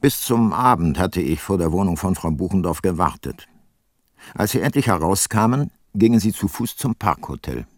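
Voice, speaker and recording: An actor playing a shrewd, self-assured older private detective in a radio play, speaking in a measured, dry and probing manner. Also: male voice, low-pitched, expressive, no echo. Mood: reflective, dry. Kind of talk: radio play narration and dialogue.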